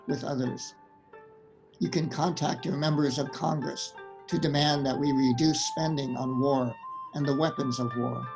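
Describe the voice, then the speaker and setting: Measured male narrator over plucked string music. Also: on the high side, gravelly, poor audio. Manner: measured, earnest, phrase by phrase. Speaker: narrator and event host. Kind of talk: scripted narration, formal closing address. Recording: instrumental music under the voice.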